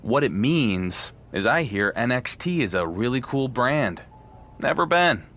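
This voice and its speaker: An actor playing a confident, sardonic champion wrestler in a video game cutscene. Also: male voice, medium pitch, very animated, a little slow, fairly bright tone. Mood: cool, challenging. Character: defiant, dry-witted male champion wrestler.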